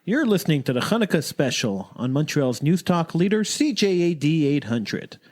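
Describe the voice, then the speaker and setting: Male talk radio host, higher-pitched and lively, asking questions. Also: moderate pace. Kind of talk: radio presenting and interviewing.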